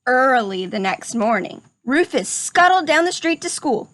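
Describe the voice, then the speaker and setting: Expressive high-voiced woman reading to children. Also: measured pace. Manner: expressive, addressing young children. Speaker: storybook reader. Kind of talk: children's read-aloud narration.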